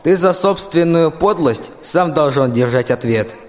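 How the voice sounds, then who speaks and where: A man's voice: a little high, lively intonation, unhurried. A young man playing a disgruntled, bumbling general in a rhymed radio play. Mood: sarcastic. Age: young adult. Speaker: school student actor. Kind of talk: theatrical verse radio play. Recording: long hall reverb.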